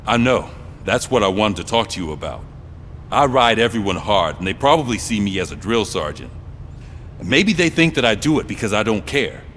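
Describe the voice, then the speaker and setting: Low-voiced sergeant, cavernous reverb. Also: male voice, big pitch swings, brisk, fairly bright tone. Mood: earnest, gruff resolve. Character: stern but caring male police sergeant. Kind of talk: video game voice acting. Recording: long reverb of a garage, noisy vehicle ambience.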